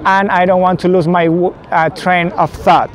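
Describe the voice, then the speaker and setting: A man in his thirties whose first language is not English, slowly explaining football tactics at a whiteboard outdoors, often saying "okay". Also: high voice, level tone. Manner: slow, deliberate, frequent "okay" fillers. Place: outdoors in a city square. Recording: faint outdoor city noise.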